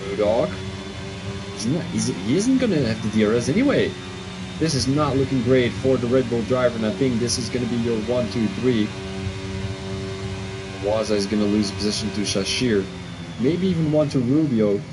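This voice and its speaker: Belgian male race caller, Dutch native, eager, keeps revising his calls; home setup, noisy with a humming air conditioner. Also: medium pitch. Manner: excitable, quick to second-guess his own calls. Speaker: race commentator and streamer. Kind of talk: live sim racing commentary.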